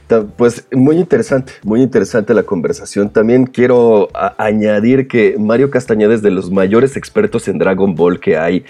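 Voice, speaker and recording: A man's voice: fairly low pitch, moderate pace, hardly any pauses, dark tone. A man with a Mexican accent, speaking as a podcast host in a conversational, analytical and somewhat hesitant way. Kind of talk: conversational commentary with prepared points.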